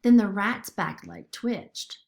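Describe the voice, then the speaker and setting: A woman's voice: mid-range voice, animated, takes it slowly, gravelly, darkish tone. A woman narrating a children's story expressively, as a storybook reader.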